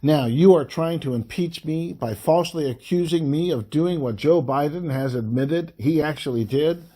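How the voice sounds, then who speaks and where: A man reading a formal political letter aloud in an angry, indignant, emphatic voice, breaking phrases into short, deliberate pieces. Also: a little high, warm tone, husky.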